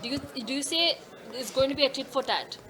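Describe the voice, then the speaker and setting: A woman's voice: sits high, expressive, quick pace, a crowd in the background. A woman reporter asking questions at a press meet, hesitant and restarting her sentences.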